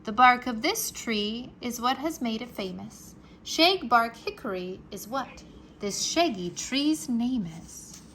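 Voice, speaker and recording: A woman reading a children's book aloud like a teacher, expressive and carefully enunciated for young listeners. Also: fairly high pitch, takes it slowly, a little hiss or hum. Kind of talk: children's read-aloud narration, rhyming nonfiction.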